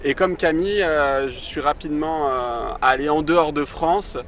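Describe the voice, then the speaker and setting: A man's voice: fairly high pitch, normal speed, a bit bright, a little hiss or hum. A man in his twenties answering interview questions outdoors, hesitant and full of fillers and restarts. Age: 20s. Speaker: interview guest, entrepreneur. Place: outdoors by the sea in a city.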